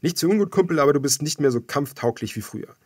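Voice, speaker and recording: A man voicing a brash, protective young fighter in an audiobook, speaking insistently and forcefully. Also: fairly high pitch, expressive, fast talker, breathy edge, pitched above the performer's own voice. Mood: eager, insistent.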